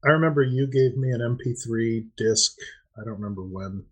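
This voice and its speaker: A man's voice: medium pitch, a little fast, background noise. A middle-aged man co-hosting a podcast, chatting casually and prompting with questions. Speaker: podcast co-host.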